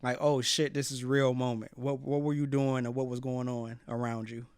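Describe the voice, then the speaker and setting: A man's voice: mid-range voice, level tone, moderate pace, a little mellow, smooth, dry recording, studio quality. A man hosting a casual radio interview, speaking in an informal, frank and slangy way. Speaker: radio host and DJ interviewing an artist.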